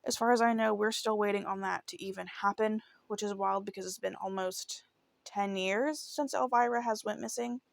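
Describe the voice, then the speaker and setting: Casual true crime woman with creaky voice. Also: medium pitch, dry recording. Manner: chatty, informal, conversational. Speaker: true crime show host.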